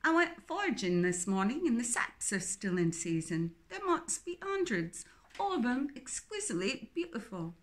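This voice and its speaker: A woman reading a novel aloud, voicing a cheerful, chatty young English woman with an English accent who talks with excitement. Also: medium pitch, moderate pace, few pauses, close to an everyday voice. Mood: excited. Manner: bubbly and excitable.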